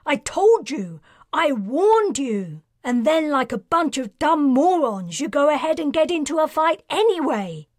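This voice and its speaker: A woman's voice: high-pitched, animated, a little slow, dry recording, clean studio sound, pitched above the performer's own voice. A woman voicing a young mage woman who scolds sharply and is near tears, in an audiobook. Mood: furious.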